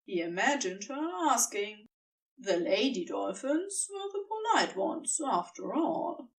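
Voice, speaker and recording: Expressive, slow-paced woman narrating fiction. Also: medium pitch, distant mic, a little hiss or hum. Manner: deliberate, storytelling delivery with dramatic pauses. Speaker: audiobook narrator.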